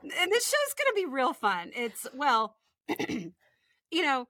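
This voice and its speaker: A woman's voice: sits high, huge pitch swings, slow, pushed and bright, no room sound. A woman in her forties with a Texan accent, co-hosting a podcast in casual, comedic chat with informal, emphatic banter. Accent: Texan American. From West Texas, United States. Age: 40s. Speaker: podcast co-host.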